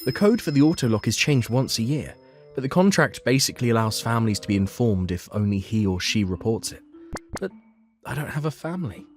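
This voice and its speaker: A man's voice: mid-range voice, expressive, fairly quick, soft background music, close to an everyday voice. A man playing an earnest, anxious young office worker who narrates his own story in a manga dub. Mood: uneasy, bewildered.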